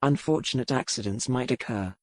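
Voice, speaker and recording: A woman voicing an earnest male physician in a storybook, speaking formally and with measured courtesy. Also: a bass voice, no echo, voice pushed down.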